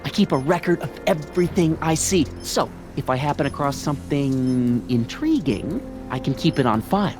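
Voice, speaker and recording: A man's voice: on the high side, animated, normal speed, music in the background, natural voice. An actor voicing a nerdy, self-important middle-aged American father, fussy and officious, in a video game. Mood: proud, officious.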